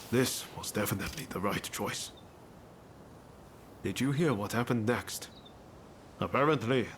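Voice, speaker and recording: Man playing an easygoing samurai rebel, lowish voice. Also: slight background noise, close to an everyday voice. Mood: relaxed. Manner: casual, conversational. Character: easygoing, friendly male samurai rebel in 1860s Japan. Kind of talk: video game cutscene acting.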